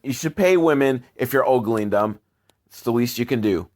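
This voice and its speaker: A man's voice: mid-range voice, expressive, moderate pace. A man hosting a comedic film review show, talking in a casual, rambling, wry way and swearing freely.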